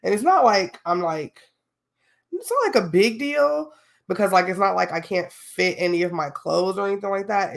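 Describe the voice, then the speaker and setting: A woman's voice: lowish voice, creaky. A young adult woman hosting a solo podcast, talking casually and candidly with lots of fillers and slang, a little sniffly from a cold. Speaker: podcast host.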